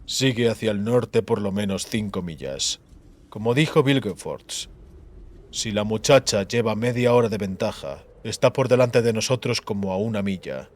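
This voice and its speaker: A man voicing a cold, calculating bounty hunter, commanding and deliberate, in a fantasy audiobook. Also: fairly low pitch, lively intonation, pitched below the performer's own voice. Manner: deliberate, brooding, murmuring.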